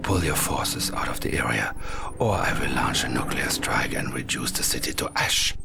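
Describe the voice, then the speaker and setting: A menacing video game dictator with a very low, creaky voice. He commands slowly and hypnotically, half whispering. The sound is echoey and distant. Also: lively intonation, heavy background noise, full character voice. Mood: cold threat. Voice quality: breathy, gravelly. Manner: slow, deliberate, commanding. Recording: echoey, distant, under loud music.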